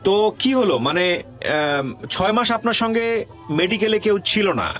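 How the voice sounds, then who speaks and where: A man's voice: high voice, big pitch swings, unhurried, fairly bright tone. A man with a Bangladeshi accent hosting an interview show, speaking reflectively and emphatically, repeating phrases for weight and mixing in English words. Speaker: radio show host and interviewer. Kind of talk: reflective monologue and interviewing a guest. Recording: music under the voice.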